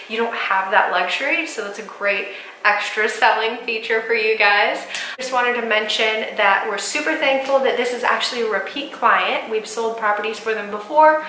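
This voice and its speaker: A woman's voice: medium pitch, pushed and bright, a little hiss or hum, low-quality recording. An American woman in her thirties, a real estate agent, chatting enthusiastically to camera in a casual house-tour style. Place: inside a furnished townhouse.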